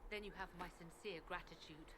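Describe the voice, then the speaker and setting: A woman's voice: lowish voice, steady tone, brisk, close to an everyday voice. An actress playing a dutiful woman soldier with an earnest, urgent manner in a video game. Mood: grateful, urgent. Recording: game audio with background noise.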